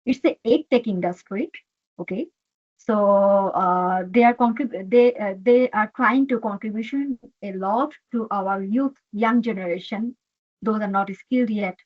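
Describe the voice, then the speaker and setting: Halting Bangladeshi woman, dull line. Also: mid-range voice. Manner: earnest, halting, frequent fillers and "okay". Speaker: HR executive, guest. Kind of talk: interview answers.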